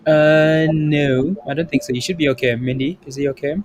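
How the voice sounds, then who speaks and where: A man's voice: fairly high pitch, fairly even intonation, fairly quick, no room sound. A man hosting a casual trading livestream, upbeat and chatty, often saying yep and there you go. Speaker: livestream host.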